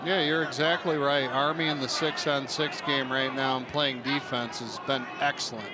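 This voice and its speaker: Man in his forties over loud crowd. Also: medium pitch, steady tone, normal speed. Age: 40s. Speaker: color commentator, former lacrosse player. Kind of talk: conversational sports color commentary. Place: indoor sports dome. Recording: loud arena crowd noise behind voice. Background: loud crowd and stadium ambience.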